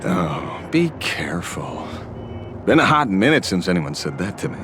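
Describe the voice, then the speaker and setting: A man's voice: low voice, very animated, measured pace, background noise. An actor playing a wry, streetwise male investigator in a game, talking with sardonic, self-assured drawl. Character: wry streetwise male investigator, lone-wolf justice seeker. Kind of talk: game dialogue voice acting. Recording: studio voice with faint game music.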